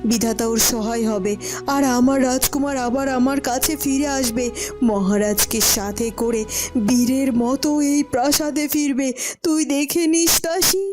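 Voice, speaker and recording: A woman's voice: high voice, steady tone, normal speed, a little mellow, faint music behind. A woman voicing a devout, protective cartoon queen and mother, emphatic and insistent in her melodrama. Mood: fervent hope.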